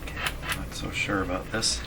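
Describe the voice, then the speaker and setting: Low-voiced man over clear background noise. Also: flat delivery. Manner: muttering to himself while working, halting, repetitive. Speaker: host of a radio repair video. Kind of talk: casual hands-on demonstration commentary. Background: ambient noise from radio being tested.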